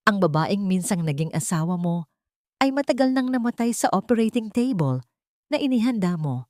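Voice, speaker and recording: A woman voicing a calm, resolute wronged wife and mother in an audio drama, cold and composed. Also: mid-range voice, normal speed, dry recording, studio quality, natural voice.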